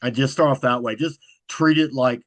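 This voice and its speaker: A man talks as a podcast guest in an easygoing, level delivery that he calls monotone. His voice sits at a middling pitch. The sound is close and dry, with no room around it. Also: normal speed, dry recording. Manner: self-described monotone, even delivery. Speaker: guest, horror content creator. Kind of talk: casual interview chat.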